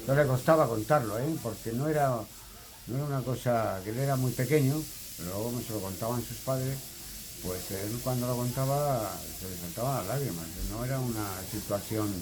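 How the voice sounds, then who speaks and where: A man giving spontaneous family testimony, haltingly, repeating words as he searches for them. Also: mid-range voice, background noise.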